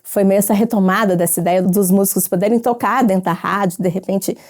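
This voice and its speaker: A woman's voice: medium pitch, fairly even intonation, brisk, a little mellow. A woman with a Brazilian accent, explaining casually in a studio. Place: music studio at a radio station.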